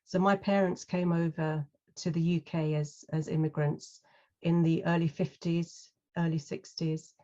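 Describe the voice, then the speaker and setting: British woman, 50s, lowish voice, chatty with lots of ums, dull lo-fi sound. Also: flat delivery, unhurried, mellow. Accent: British English. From UK, London. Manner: chatty, many ums and restarts. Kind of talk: casual interview chat.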